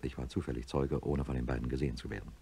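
A very deep, husky man's voice as a middle-aged neurosurgeon in a radio play. He is grave and troubled, telling his story like a confession. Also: little pitch movement, very fast, mellow, heavily acted. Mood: sombre, confessional. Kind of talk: radio drama narration and dialogue.

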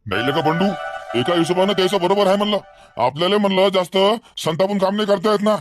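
A man's voice: high voice, fast talker, soft background music, close to an everyday voice. A man voicing a talkative, level-headed villager in a comedy cartoon, earnest and wordy, with a Varhadi Marathi accent. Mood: calming, reasoning. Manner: earnest, wordy, repeats himself.

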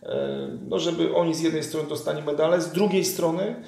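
A man's voice: on the high side, fairly even intonation, brisk, vocal fry, darkish tone. A man in his thirties, a journalist earnestly interviewing a guest, with many fillers and restarts. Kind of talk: political interview. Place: room with leather sofa, indoors. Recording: echoey room.

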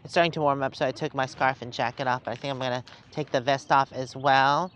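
A woman's voice: rumbling low, steady tone, quick pace, fairly bright tone. A middle-aged woman chatting casually to the camera while driving her RV, talking to herself about the road. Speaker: RV travel vlogger. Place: inside a moving RV cab. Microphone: dash or handheld camera in vehicle. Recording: road noise behind.

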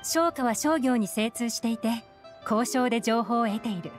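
A woman's voice: on the high side, moderate pace, natural voice. A woman voicing a cool, commanding young female intelligence chief in a game, composed and self-assured. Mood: knowing, assured. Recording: background music under the voice.

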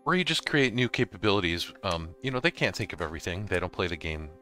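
A man narrating a casual gaming software tutorial, explaining things informally step by step. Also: sits low, lively intonation, fast talker, faint music behind. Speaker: host of a gaming tutorial series. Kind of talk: casual software tutorial narration over slides and screen capture.

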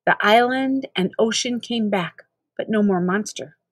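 A woman's voice: mid-range voice, measured pace, dry recording, studio quality. An older woman with an American accent reading a children's novel aloud expressively. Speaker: storybook reader.

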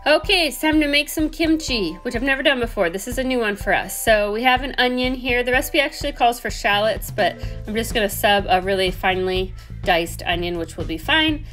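A woman's voice: a little high, expressive, a little fast, a bit bright, faint music behind. A woman in her thirties, a homesteading host, chatting through recipe steps in a home kitchen. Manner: chatty step-by-step explaining. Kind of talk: casual cooking voice-over.

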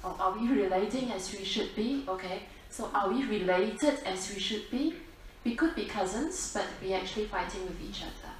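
Female Buddhist teacher, deliberate and questioning, addressing a group from across a reverberant room, distant mic. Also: mid-range voice. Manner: deliberate, repetitive, questioning the listeners. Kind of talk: dharma talk to a seated group. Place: library-like meeting room, talk to an audience. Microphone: distant camera at back of room. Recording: echoey room, distant mic, faint noise.

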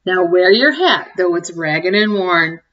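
A woman in her forties reading a picture book aloud to young children, expressively, pausing to ask them questions and comment. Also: on the low side, expressive, muffled recording. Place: small home room, indoors. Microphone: webcam or laptop mic at desk distance.

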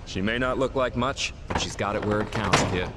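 Man playing a low-voiced, cocky smuggler. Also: a little fast, very noisy, natural voice. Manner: casual, dry, self-assured. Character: cocky, grumbling male smuggler captain. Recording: loud game sound effects behind.

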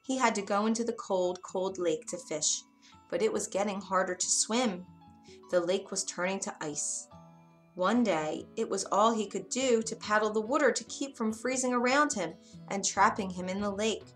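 A woman reading a scripted children's fairy tale in an expressive, deliberate storytelling manner. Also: medium pitch. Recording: music behind the narration. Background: music.

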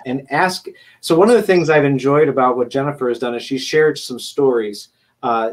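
Male moderator, mid-pitched, halting and restart-prone on a video call. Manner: hesitant, many restarts. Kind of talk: moderating a panel. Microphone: webcam on video call.

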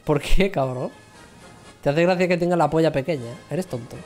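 A man's voice: a little high, a little fast, darkish tone, faint music behind. A man in his thirties chatting casually with his viewers while he plays, joking and mocking himself from his gaming chair at home. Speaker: livestream gamer. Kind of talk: casual banter with chat.

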